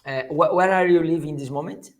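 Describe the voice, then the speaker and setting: A man's voice: a little high, fairly quick, soft background music. A man from Brazil with a Brazilian Portuguese accent, a native Portuguese speaker chatting hesitantly in an English class over a video call. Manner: hesitant, restarts often, learner's English. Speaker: English student. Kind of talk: casual conversation class.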